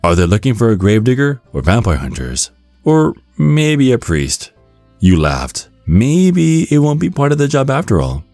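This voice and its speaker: A man giving a scripted ASMR narration in a measured, instructional storytelling manner. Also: mid-range voice, wildly swooping pitch, a little mellow, soft background music. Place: close, dry recording with music behind.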